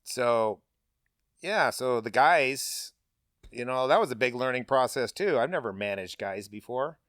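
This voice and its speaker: A man's voice: mid-range voice, expressive, unhurried, fairly bright tone, no room sound, clean studio sound. A middle-aged man, a paving business owner, talking candidly and bluntly with lots of casual fillers. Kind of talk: candid interview talk.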